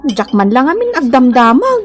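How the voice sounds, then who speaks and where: A woman's voice: high-pitched, big pitch swings, moderate pace. A woman playing a chatty, frank young woman in a comedy radio drama, with an Ilocano accent.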